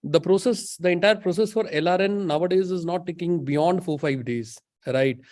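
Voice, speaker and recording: A man's voice: high voice, moderate pace, darkish tone, no room sound, clean studio sound. A middle-aged man with an Indian accent explaining on a webinar panel, emphatic and often checking with "right?". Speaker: banking expert panelist.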